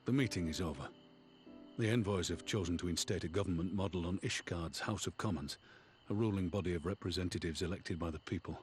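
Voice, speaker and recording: Guy acting as low voiced old-soldier type general, grave n formal, fantasy game, music behind. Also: fairly quick, lightly acted. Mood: solemn, reflective. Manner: solemn, formal, archaic phrasing. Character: grave, loyal veteran general, proud and honorable.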